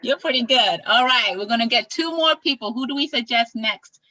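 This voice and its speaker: Bright, upbeat young woman host. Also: on the high side, normal speed, no room sound. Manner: upbeat, animated, teaching greetings. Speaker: executive director and event host. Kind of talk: enthusiastic online presentation. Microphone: video call. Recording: slightly dull lo-fi call audio.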